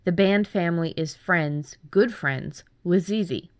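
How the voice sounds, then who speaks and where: A woman podcast host chatting casually about writing, telling things in an animated way with chuckles. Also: fairly low pitch, a little slow, no room sound. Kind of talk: casual chat about story ideas.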